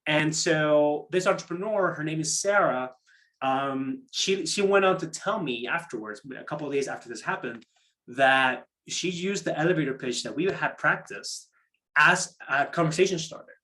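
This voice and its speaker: Male workshop presenter, somewhat high-pitched, conversational and explanatory, with repeated words, restarts and frequent check-in tags. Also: moderate pace, sharp tone. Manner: conversational, seeks agreement with tag questions. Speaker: program manager presenting a workshop. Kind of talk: interactive online workshop talk on pitching.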